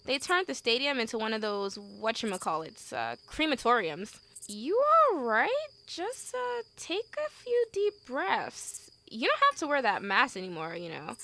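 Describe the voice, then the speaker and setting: A brash young working woman in an audio drama, played by an actress, with a high, bright voice that swings freely. She is chatty, blunt and slangy. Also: very animated, unhurried, gravelly, full character voice. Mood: breezy, blunt. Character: brash, chatty, foul-mouthed young woman hauling corpses. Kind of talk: amateur audio drama acting.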